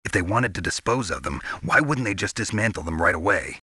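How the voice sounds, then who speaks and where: A man voicing a gruff, world-weary soldier in a video game, with a husky, gravelly voice and a terse, dry manner. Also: sits low, expressive, brisk, pushed and bright, dry recording, full character voice. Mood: skeptical, wry.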